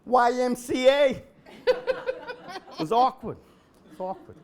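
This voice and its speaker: A middle-aged man doing a stand-up comedy set at an event, with a deadpan delivery that bursts into shouted singing. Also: very high-pitched, takes it slowly. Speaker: comedian host. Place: event hall with a live audience.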